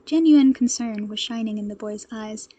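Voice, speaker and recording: A woman narrator with a fairly high, mellow voice and a husky grain. She reads scripted fan fiction aloud with expressive storytelling delivery. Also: normal speed.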